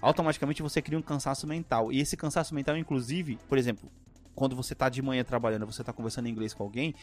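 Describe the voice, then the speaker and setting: A man's voice: medium pitch, fairly even intonation, fast talker. A man co-hosting a casual podcast, rambling in slangy chat with lots of fillers. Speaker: podcast co-host. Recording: faint music behind.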